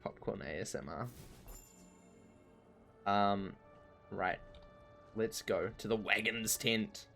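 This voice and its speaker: A man with a croaky voice chatting casually while streaming a game, rambling and poking fun at himself. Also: lowish voice, takes it slowly, long pauses, fairly bright tone, faint music behind. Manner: rambling and self-mocking, laughs easily. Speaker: streamer playing an online game. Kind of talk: casual chat while gaming.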